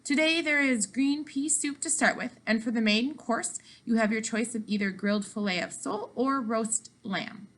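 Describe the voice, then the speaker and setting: A woman's voice: on the high side, moderate pace, few pauses, fairly bright tone, close to an everyday voice. A woman voicing a polite, formal male waiter in a storybook. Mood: courteous. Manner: courteous, formal.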